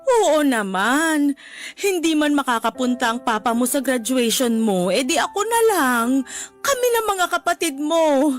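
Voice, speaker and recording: A woman playing a loving, middle-aged widowed mother with a Filipino accent in a radio drama, tender, gently teasing and encouraging. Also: high voice, lively intonation, measured pace, soft background music. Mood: tender encouragement.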